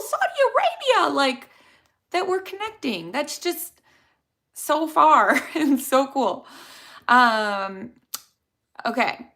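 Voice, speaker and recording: Young woman, Chicago accent, bright voice, chatty livestream Q&A host answering viewers. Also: sits high. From Chicago area. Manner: chatty, rambling, answers questions from chat. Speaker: dental hygienist, host.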